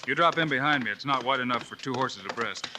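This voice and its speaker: An actor playing a calm, firm, confident frontier lawman in a 1950s radio western. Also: mid-range voice, fast talker, lightly acted. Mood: steady. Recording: noisy old radio recording.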